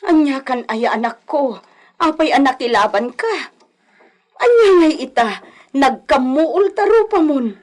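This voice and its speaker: A Filipino-accented woman playing a worried, protective mother in a radio comedy-drama, coaxing and fretting in a husky voice. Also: sits high, expressive, a little slow, soft background music. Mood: worried, scolding.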